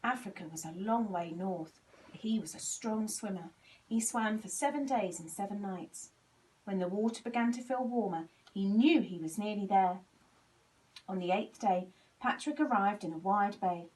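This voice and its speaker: A woman reading a children's storybook aloud in an expressive, animated way, acting out the dialogue. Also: mid-range voice, normal speed, recorded from a distance, low-quality recording. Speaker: volunteer story reader.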